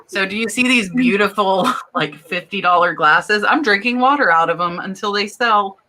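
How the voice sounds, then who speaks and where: A woman's voice: medium pitch, normal speed, pushed and bright. A woman from Florida hosting a live stream chat, talking casually, laughing and reading out viewer comments. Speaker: host.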